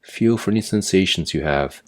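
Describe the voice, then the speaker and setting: A man narrating a guided meditation as an instructor, giving measured, step-by-step directions. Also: sits low, lively intonation. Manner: measured, imperative step-by-step guidance.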